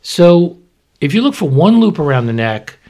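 An older man with a husky voice, co-hosting a podcast as a doctor and explaining medical matters conversationally in plain words. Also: a little high, big pitch swings, normal speed, darkish tone. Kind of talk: casual explanatory podcast talk.

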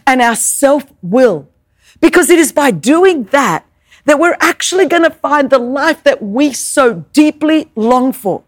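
A woman's voice: high voice, dry recording, clean studio sound. A woman whose first language is Greek, preaching an emphatic sermon with rhetorical questions and repeated words. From Greek Orthodox family background.

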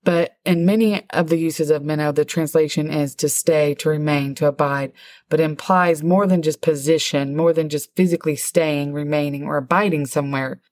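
A woman's voice: low-pitched, steady tone, dry recording. A middle-aged woman co-hosting a podcast with her daughter, explaining a Bible word study in an easygoing, explanatory way. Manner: warm, explanatory, reads definitions slowly. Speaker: podcast co-host, Bible teacher.

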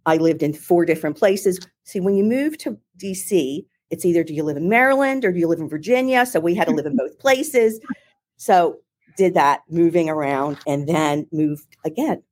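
Middle-aged woman, Philadelphia accent, low-ish pitch, animated chatty storyteller, interview guest on a call. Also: moderate pace. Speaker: marketing executive, guest. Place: home or office in Ohio, remote call.

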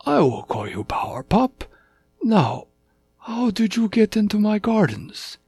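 An adult storyteller voicing a great tiger, a kindly and formal king of cats who speaks deliberately. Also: medium pitch, sing-song, slightly rough, dry recording, faint music behind, voice pushed up, heavily acted. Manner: formal, deliberate, gently regal.

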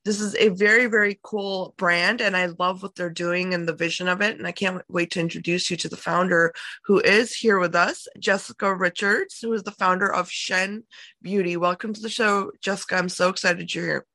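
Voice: mid-range voice, steady tone, moderate pace, pushed and bright, no room sound. A show host welcoming a guest in an effusive, enthusiastic way. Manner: gushing, enthusiastic. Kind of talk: interview hosting.

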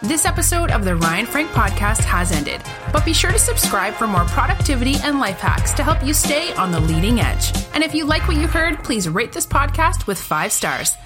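Female announcer, fairly high and very lively voice, scripted show intro over a clear music bed. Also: moderate pace, few pauses. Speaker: podcast announcer.

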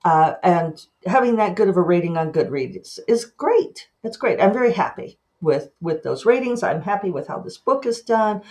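Chatty woman podcaster, slightly low voice. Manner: chatty, animated, quick asides and self-mocking laughs; lively pitch jumps when acting out her own thoughts. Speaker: podcast host and author. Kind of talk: casual monologue.

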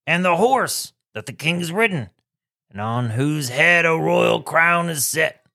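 Scheming royal official, raspy bright tone, school radio play, man acting it. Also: medium pitch. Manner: reading formal lines.

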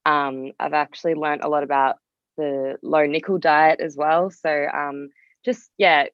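Low-pitched young woman chatting casually. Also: no room sound, dull audio. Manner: informal, hedging with frequent "like" and "kind of". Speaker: podcast guest, music artist. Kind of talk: casual chat.

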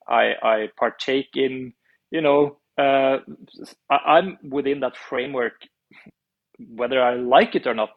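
A man with a Norwegian accent, a musician talking as a guest in a casual interview, halting and restarting as he speaks. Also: medium pitch, creaky, muffled recording. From Norway.

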